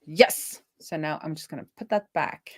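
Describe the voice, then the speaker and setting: A woman hosting a casual live coding stream, thinking out loud as she works and often trailing off and rephrasing. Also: low-pitched, normal speed, breathy edge, dry recording, studio quality. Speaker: host, web developer live coding.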